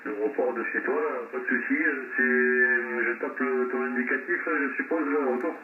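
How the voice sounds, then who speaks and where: A man with a French accent from around Montpellier, chatting haltingly over a CB radio link with many restarts. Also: mid-range voice. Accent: French, Montpellier area. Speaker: CB radio operator. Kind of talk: casual radio contact chat. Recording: tinny, noisy, echoey radio transmission.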